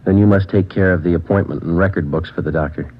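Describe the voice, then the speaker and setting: An actor playing a terse, deadpan police sergeant, narrating and questioning in a 1940s radio drama. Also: male voice, low voice, quick pace. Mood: businesslike. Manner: deadpan, clipped. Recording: dull, noisy 1940s radio recording.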